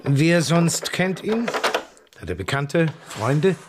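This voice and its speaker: An actor playing a brisk, methodical police inspector in a radio drama, deliberate and probing. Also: male voice, a little high, very animated, background noise. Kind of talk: radio play acting.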